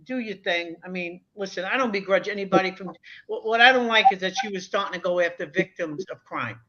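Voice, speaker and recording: Woman, seventies, guest on a casual video chat panel, bright and forceful, emphatic, dull lo-fi sound. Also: medium pitch, brisk. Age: 70s. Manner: animated, emphatic, chatty.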